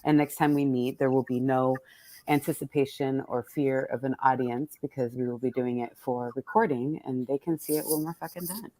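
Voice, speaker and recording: A woman's voice: rumbling low, fairly even intonation, moderate pace, mellow, no room sound, low-quality recording. A woman speaking as a poet and host, casually and candidly introducing a poetry reading, salty-tongued and thoughtful as she searches for words.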